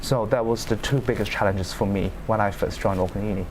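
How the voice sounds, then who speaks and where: Young man from China, steady answers. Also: fairly low pitch, brisk, a little hiss or hum, low-quality recording. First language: Chinese. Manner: fluent, steady, non-native grammar. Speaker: law student panelist. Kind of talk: panel interview answers.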